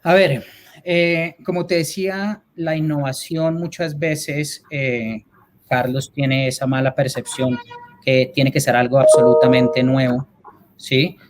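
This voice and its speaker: A man's voice: medium pitch, steady tone, slow pace. A man from Colombia with a Colombian accent, answering questions as a guest speaker in an explanatory way, often checking that he is followed. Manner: explanatory, frequent check-in tags. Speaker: advertising executive, guest speaker. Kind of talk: answering audience questions in an online talk.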